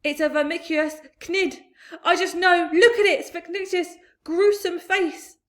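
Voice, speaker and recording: A woman's voice: extremely high pitch, unhurried, a bit bright, voice pushed up. A woman voicing a blustering, panicky male police chief in a storybook reading. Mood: alarmed.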